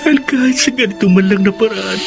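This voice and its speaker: A man plays a young adopted son in an audio drama, high-voiced and full of anguish. He pleads in broken phrases, sunk in remorse and self-loathing, with music playing clearly behind him. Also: fast talker. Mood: anguished, pleading. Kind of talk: dramatic Tagalog audio story acting.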